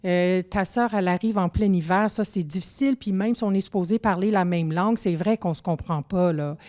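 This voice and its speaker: A woman with a mellow, warm voice hosts a relaxed panel conversation. She thinks aloud as she asks, piling on small fillers and tags. Her delivery is easy and chatty. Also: on the low side. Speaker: host.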